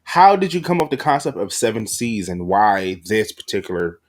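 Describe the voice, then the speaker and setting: A man's voice: lowish voice, sing-song, fairly quick. A man hosting a podcast interview, chatting casually with slangy, informal phrasing. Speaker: podcast host.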